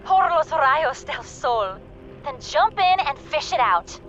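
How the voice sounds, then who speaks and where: A woman playing a feisty, loyal comrade in a video game, talking in an informal, sassy, expressive way. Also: sits high, slow, highs cut off, noisy background, heavily acted. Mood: teasing, exasperated. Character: feisty, loyal, teasing female comrade of the hero. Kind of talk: casual video game companion dialogue.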